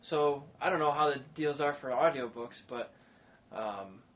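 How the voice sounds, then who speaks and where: A man's voice: medium pitch, normal speed. A man hosting a podcast, talking in a rambling, enthusiastic casual way. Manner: rambling, enthusiastic, many fillers. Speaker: podcast host. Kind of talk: casual chat. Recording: dull lo-fi sound with faint noise.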